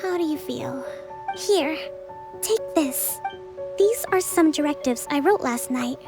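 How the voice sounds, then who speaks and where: Gentle young strategist leader, high voice, measured n formal, anime game, some music behind. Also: female voice, lively intonation, heavily acted. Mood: calm. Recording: music under the voice.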